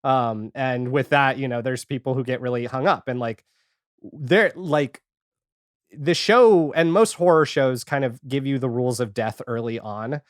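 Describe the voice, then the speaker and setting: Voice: medium pitch, measured pace, dry recording, studio quality. A man chatting casually as a podcast guest, rambling and retelling things with quoted reactions. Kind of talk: casual podcast chat about a TV series.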